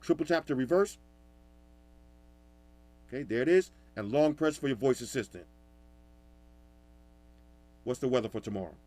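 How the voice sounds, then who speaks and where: A man giving a casual, animated product review in a loud, talkative voice. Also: mid-range voice, normal speed, long pauses. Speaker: tech reviewer.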